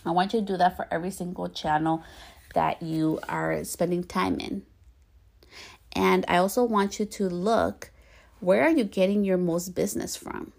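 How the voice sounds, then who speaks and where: A bilingual Spanish and English woman hosting her own podcast, talking fast and coaching her listeners in a casual way, sometimes tripping over her words. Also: on the low side, steady tone, vocal fry, a little mellow. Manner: encouraging, coaching tone. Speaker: podcast host. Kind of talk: casual solo podcast talk.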